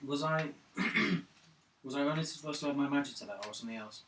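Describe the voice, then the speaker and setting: A man's voice: fairly high pitch, brisk, far from the mic. Male tabletop player, casual and questioning, echoey noisy room recording. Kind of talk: casual game chat, questioning.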